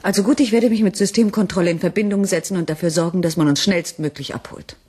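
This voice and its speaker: An actress playing a decisive colony leader in a radio play, speaking with measured, resolute authority. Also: female voice, on the low side, dark tone, breathy edge. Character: firm, decisive female head of a space colony. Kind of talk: 1980s German radio play acting.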